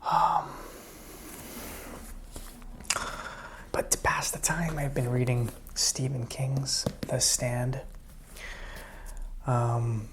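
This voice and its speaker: A man playing a calm, helpful survivor in a zombie apocalypse ASMR roleplay, whispering and speaking softly in a hesitant, intimate, musing way. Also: mid-range voice, slow, background noise, lightly acted. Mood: quiet, musing.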